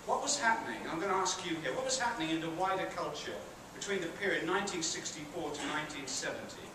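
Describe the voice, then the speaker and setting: A man's voice: on the high side, lively intonation, fast. A middle-aged British man with a British accent, giving a chatty university lecture and asking the audience questions. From Britain. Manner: chatty, asks the audience questions, self-deprecating asides. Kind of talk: public lecture to students. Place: large theatre hall with an audience. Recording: very echoey hall, far-off and noisy.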